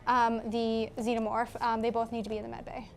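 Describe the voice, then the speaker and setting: A woman's voice: on the high side, monotone, a little fast. A woman player in a tabletop role-playing game, casually telling the table what her character will do. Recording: clear background noise.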